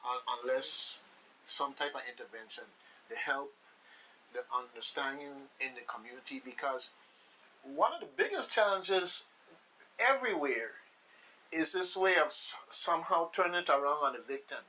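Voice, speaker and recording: A man's voice: a little high, expressive, normal speed, sharp tone, recorded from a distance, a little hiss or hum, low-quality recording. A man hosting a radio panel discussion, conversational and repeating phrases for emphasis. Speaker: radio talk show host.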